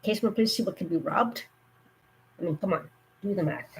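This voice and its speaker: A woman with a cold, her voice going hoarse, giving earnest safety warnings in a rambling, casual live stream. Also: mid-range voice, normal speed, rough recording.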